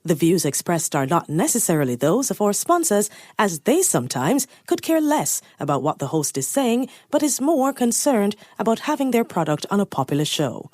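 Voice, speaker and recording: A woman's voice: medium pitch, very animated, moderate pace, hardly any pauses, a little mellow, no room sound. A woman reading a scripted radio show disclaimer as an announcer. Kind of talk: scripted narration.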